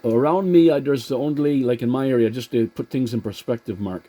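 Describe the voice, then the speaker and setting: A man chatting casually as a CB radio operator, in a halting way that repeats words. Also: medium pitch, warm tone. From Atlantic Canada.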